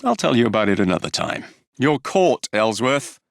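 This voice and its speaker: An actor voicing a cocky, wisecracking young English assassin and gang leader in a video game, flippant and sardonic. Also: male voice, medium pitch, sing-song, moderate pace, gravelly, no echo, clean studio sound. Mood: brisk, cheeky. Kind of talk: video game character dialogue.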